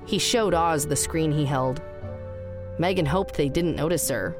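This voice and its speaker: A woman's voice: low-pitched, a little slow, music in the background. A woman reading scripted fiction as the narrator of an audio drama.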